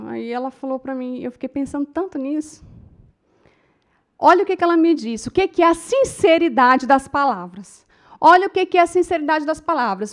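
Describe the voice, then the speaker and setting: A middle-aged Brazilian woman teaching public speaking to a class, telling stories with feeling and often turning to her listeners. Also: high-pitched. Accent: Brazilian Portuguese. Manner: emotive storytelling, addresses the audience as "gente". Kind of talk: lecture to a class.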